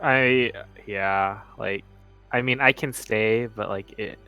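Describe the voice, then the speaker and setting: Bright, unhurried male game player. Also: fairly low pitch, steady tone, smooth, highs cut off, faint music behind. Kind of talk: casual chat.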